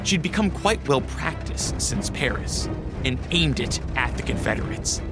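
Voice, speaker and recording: A man's voice: fairly high pitch, lively intonation, unhurried, over loud music. A man narrating a gothic adventure radio drama in a solemn, literary, dramatic manner.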